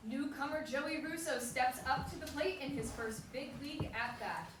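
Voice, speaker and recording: Female baseball commentator, acted on stage, high pitch, play-by-play delivery, strong echo. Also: moderate pace, natural voice. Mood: brisk commentary. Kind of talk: sports commentary in a staged reading. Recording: very echoey hall, distant mic, noisy.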